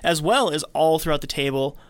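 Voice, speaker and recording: A man hosting a podcast, casually retelling a film's story in an animated storytelling way. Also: fairly high pitch, fairly quick, dry recording. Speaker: podcast host recapping a film. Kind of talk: casual film recap narration.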